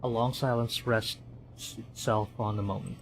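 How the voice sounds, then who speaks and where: A man's voice: fairly low pitch, fairly even intonation, normal speed, vocal fry, slight background noise. A man from the Philippines, streaming as he narrates a visual novel dramatically and adds casual commentary.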